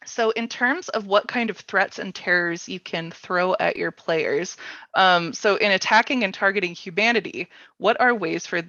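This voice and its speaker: A woman hosting a casual panel discussion, thinking aloud informally in halting phrases. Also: medium pitch, bright, forceful tone. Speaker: workshop host and panelist. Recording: roomy, slightly dull sound.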